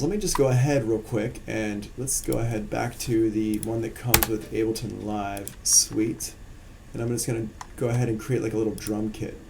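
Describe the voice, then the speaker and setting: A man teaching music production in a casual, relaxed live-streamed software tutorial, explaining as he works on screen. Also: on the low side, creaky, slight background noise.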